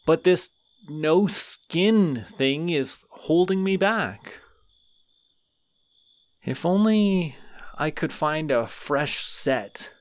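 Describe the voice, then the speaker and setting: A man's voice: fairly high pitch, lively intonation, extremely slow, pauses often, no echo. A man voicing a friendly, lonely male skeleton in an audio roleplay, rambling and apologizing a lot to the listener. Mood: grumbling. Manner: rambling, sheepish, over-apologetic.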